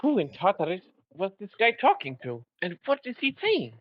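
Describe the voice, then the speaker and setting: A performer voicing a polite, flustered female pony nurse in a fan fiction reading, gentle and hesitant, on a dull microphone. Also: medium pitch, wildly swooping pitch, normal speed, no room sound. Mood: bewildered.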